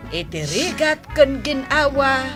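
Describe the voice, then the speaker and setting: A woman's voice: high-pitched, very animated, slow pace, loud music behind the voice, full character voice. A woman playing a concerned middle-aged mother in a radio drama, advising earnestly and pleadingly with a Filipino accent. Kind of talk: Ilocano radio drama acting.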